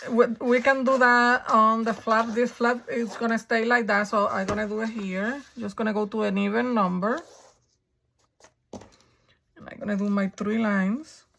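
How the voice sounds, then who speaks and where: Raspy gravelly lady, getting the flu, chatty craft tutorial, lots of ums. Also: fairly high pitch, fairly bright tone. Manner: chatty, thinking aloud while working, frequent ums. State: coming down with the flu, hoarse. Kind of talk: casual step-by-step crafting tutorial.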